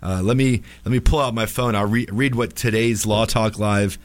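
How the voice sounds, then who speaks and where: A man with a slightly raspy voice, a little under the weather, hosts a live radio call-in show as an attorney, chatting in an informal, halting, wry way. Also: lowish voice, fairly even intonation, no room sound. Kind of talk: casual live radio chat. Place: radio studio.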